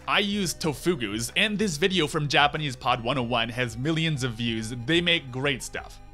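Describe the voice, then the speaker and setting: A man's voice: mid-range voice, expressive, moderate pace, pushed and bright, faint music behind. A man in his twenties delivering a sarcastic, exasperated scripted review rant as a video host, close on the mic. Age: 20s. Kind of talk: scripted comedic review commentary. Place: small room at home, indoors. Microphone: close desk microphone.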